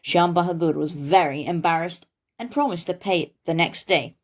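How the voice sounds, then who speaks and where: A woman's voice: fairly low pitch, moderate pace. A woman narrating a story for children as the host of a read-aloud show.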